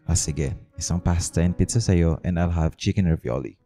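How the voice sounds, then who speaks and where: A man acting an attentive, caring young suitor with a gentle manner in a radio romance drama. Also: deep voice, normal speed, faint music behind, close to an everyday voice. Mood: casual, caring.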